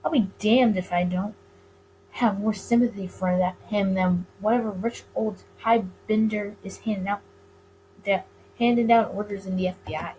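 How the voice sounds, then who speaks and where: A woman reading a visual novel aloud as dramatic narration for a gaming video, with expressive delivery. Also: mid-range voice, normal speed, a little hiss or hum, poor audio.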